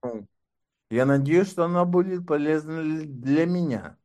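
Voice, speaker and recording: A man's voice: fairly high pitch, measured pace, a little mellow. A man speaking as an interview guest and doctoral candidate, talking in a wry, sardonic way. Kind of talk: casual conversation.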